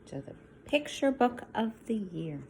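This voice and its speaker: A woman teacher reading a picture book aloud to young children, expressively, with softer asides to explain it. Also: a little high, very animated, unhurried, gravelly, slight background noise, poor audio. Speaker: schoolteacher reading to young pupils.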